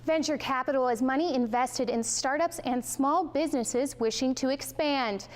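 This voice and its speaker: A woman's voice: sits high, moderate pace. An American woman in her twenties delivering scripted television news narration as a reporter, in a polished, emphatic way. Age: 20s.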